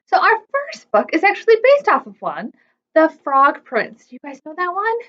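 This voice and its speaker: Woman, storytime host, very animated delivery, chatty with kids, dull muffled sound. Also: very high voice, normal speed. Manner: chatty, asks the children questions. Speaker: children's library storytime host. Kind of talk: expressive read-aloud storytime for preschoolers.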